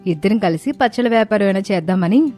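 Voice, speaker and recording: A woman's voice: medium pitch, lively intonation, quick pace, a little mellow, soft background music, lightly acted. Woman acting an eager, persuasive young friend.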